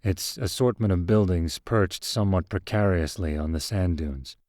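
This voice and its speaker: Low-pitched man narrating fiction, dry sound. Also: moderate pace, a little mellow, no echo. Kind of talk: scripted first-person fiction narration.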